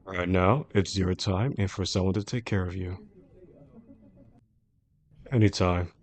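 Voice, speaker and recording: A man playing a composed, caring CEO boss with a secretly obsessive streak in an intimate audio roleplay, speaking in a measured, formal, reassuring way. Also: sits low, steady tone. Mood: caring, insistent. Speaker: voice actor in a roleplay audio drama. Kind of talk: intimate scripted roleplay audio addressed to the listener.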